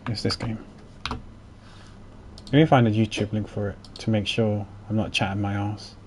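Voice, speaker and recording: A man chatting casually on a game livestream, halting and thinking aloud as he goes. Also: fairly low pitch, fairly even intonation. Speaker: game streamer. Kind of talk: casual livestream chat while playing. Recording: faint noise, keyboard typing.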